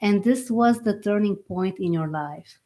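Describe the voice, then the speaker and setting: A woman's voice: medium pitch, measured pace, warm tone. A woman hosting a motivational podcast, talking in an encouraging way and repeating her points for emphasis. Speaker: podcast host.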